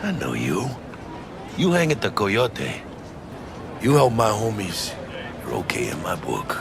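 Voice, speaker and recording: Man as a deep, gravelly streetwise tough. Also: wildly swooping pitch, a little slow, noisy background, heavily acted. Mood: relaxed, friendly. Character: easygoing streetwise tough guy from cyberpunk game.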